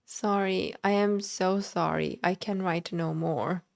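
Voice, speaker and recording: A woman's voice: lowish voice, steady tone, slow, vocal fry. A woman livestreaming a video game, commenting and reading the in-game text aloud. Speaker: game streamer.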